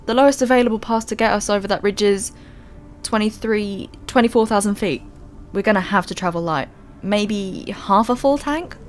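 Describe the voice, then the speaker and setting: A woman's voice: mid-range voice, normal speed, soft background music, natural voice. A woman playing a young expedition pilot in an audio drama, earnest, practical and uneasy.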